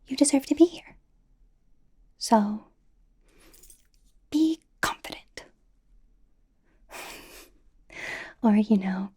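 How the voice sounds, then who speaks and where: A woman playing a bubbly, nervous, supportive young pop idol in a breathy, whispered ASMR roleplay, rambling and reassuring as she goes. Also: a little high, darkish tone, exaggerated voice. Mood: encouraging, eager. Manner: rambling, giggly, reassuring, hesitant.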